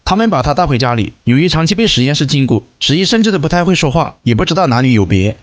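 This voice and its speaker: A man's voice: fairly high pitch, very animated, fast talker. A man reading a scripted film recap as narrator.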